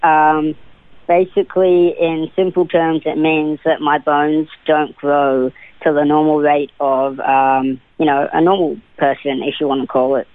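A man's voice: on the high side, level tone, a little slow, no room sound. A young man in his twenties with a New Zealand accent, a guest answering interview questions hesitantly with many fillers and restarts. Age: 20s. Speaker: guest actor. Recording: tinny, dry phone line.

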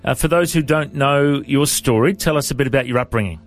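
A man hosting a radio interview, friendly and brisk. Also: mid-range voice. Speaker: radio host.

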